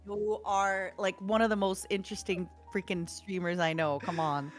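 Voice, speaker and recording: A woman streamer in casual conversation, encouraging and animated as she tells stories. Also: mid-range voice, moderate pace, soft background music. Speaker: streamer guest. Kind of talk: casual podcast chat.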